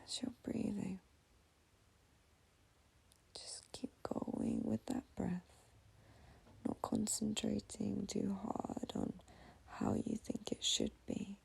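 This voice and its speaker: Woman, meditation guide, whispered, very husky, gravelly, slow and soothing. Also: medium pitch, little pitch movement.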